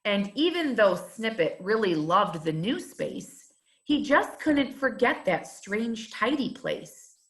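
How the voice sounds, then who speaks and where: A woman reading a rhyming children's storybook aloud, in a measured and expressive teacher's manner. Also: on the high side, rough recording.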